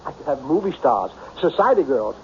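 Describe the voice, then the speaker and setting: Old radio drama: self-made tycoon, brooding, blunt, self-pitying, very animated pitch, dull broadcast sound. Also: male voice, fairly quick, slight background noise. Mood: bitter, dejected. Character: lonely self-made millionaire businessman, melancholy, brusque, self-pitying.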